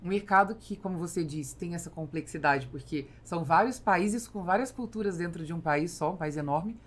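A woman's voice: lowish voice, fairly quick. A woman in her forties with a Brazilian accent, hosting a casual podcast interview. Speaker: podcast host. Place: small foam-padded podcast studio. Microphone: close studio microphone on boom arm. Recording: faint background noise.

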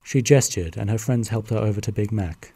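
A storybook narrator for a cartoon radio play, telling the scenes plainly and evenly. The voice sits at a middle pitch. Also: a little fast, warm tone, slightly rough, dry recording.

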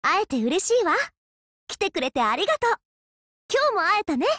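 Cute caring rpg healer girl, super high girly voice, sweet n polite, dry studio sound. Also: very animated, brisk, a bit bright, dry recording, exaggerated voice. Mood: sweet, cheerful. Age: young adult. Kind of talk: Japanese RPG character voice lines.

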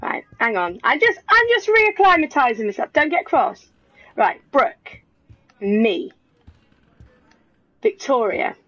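A woman's voice: high-pitched, sing-song, takes it slowly, pauses often, fairly bright tone, faint music behind, low-quality recording. Chatty Welsh woman gaming. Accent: Welsh, softened by London. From Wales. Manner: chatty, jokey, swears. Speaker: let's play streamer. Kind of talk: casual gameplay commentary.

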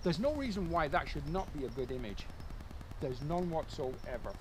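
An older man talking casually to camera outdoors, musing with pauses and repeating words for emphasis. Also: a little high, big pitch swings. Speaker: landscape photographer, vlogger. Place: outdoors in woods and open field. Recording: outdoor ambient noise.

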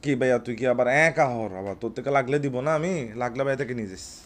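A young man with a Chittagong accent from Bangladesh speaks in a casual phone-call style. His pitch is middling, and he has a boastful, repetitive way of talking. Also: fast talker. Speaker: prank caller playing an older brother. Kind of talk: informal prank phone call.

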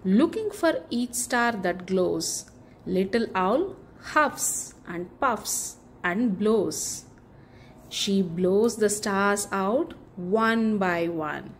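A woman reading a children's picture book aloud as a bedtime story, in an expressive, deliberate storytelling manner with drawn-out phrases. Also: mid-range voice, a little hiss or hum.

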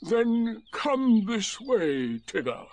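A man voicing a gloomy, sarcastic storybook donkey with a slow, mournful drawl. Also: sits high, big pitch swings, a little mellow, voice pushed up, full character voice. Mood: sardonic. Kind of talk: storybook character voice.

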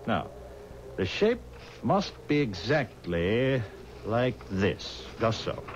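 Mysterious insistent stranger, terse imperious delivery, 1970s radio drama, muffled tape, heavy noise. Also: male voice, mid-range voice, very animated, slow. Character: insistent, mysterious male stranger ordering his own coffin. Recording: dull, noisy old radio recording.

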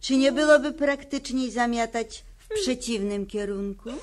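A woman's voice: fairly high pitch, moderate pace, close to an everyday voice. An actress playing a kindly, naive troll mother in a radio play, polite and curious. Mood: politely curious. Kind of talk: Polish radio play acting.

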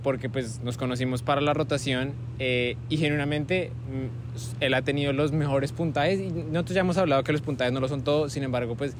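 A young man hosting a casual improvised interview on a campus, relaxed and chatty with frequent fillers. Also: mid-range voice, background noise. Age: young adult. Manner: relaxed, conversational, many fillers like "como" and "eh". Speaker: host, medical student interviewer. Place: outdoors on a university campus plaza with trees.

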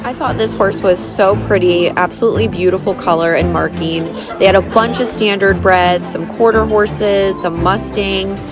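A woman's voice: mid-range voice, steady tone, moderate pace, hardly any pauses, music in the background. A woman in her thirties narrating a casual vlog in a gushing, enthusiastic manner. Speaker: vlogger and horse owner.

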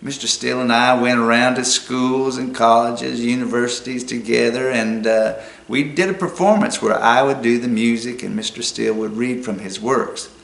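An older man with an Appalachian accent, reading a children's storybook aloud in an unhurried, folksy way. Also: mid-range voice, steady tone, creaky, fairly bright tone, rough recording. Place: small book-lined room indoors.

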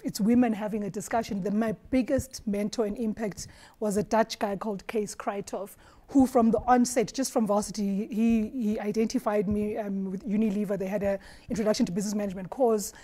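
A woman from Eswatini on a studio panel, telling stories in an animated way and laughing often, recorded on a lapel mic. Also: fairly high pitch. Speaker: marketing executive panelist. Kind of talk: roundtable discussion. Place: dark studio set at a round table.

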